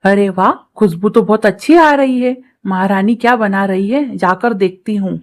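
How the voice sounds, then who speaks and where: A woman's voice: mid-range voice, moderate pace, close to an everyday voice. A woman voicing a spiteful, scheming young crow sister-in-law in a cartoon story, sly and mocking with many exclamations. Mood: envious, scheming. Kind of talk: Hindi cartoon story acting.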